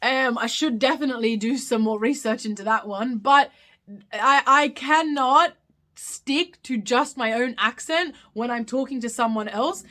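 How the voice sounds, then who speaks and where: A woman's voice: fairly high pitch, a little slow, pushed and bright. A young woman with an Australian accent with slight British touches, hosting a casual live question-and-answer chat with many ums and self-corrections. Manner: chatty, rambling, frequent ums and self-corrections. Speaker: live stream host.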